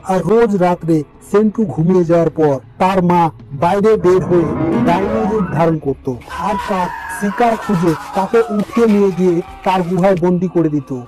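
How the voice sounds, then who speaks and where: A man's voice: high-pitched, normal speed, over music, poor audio. A man narrating a scripted fairy tale as a storyteller.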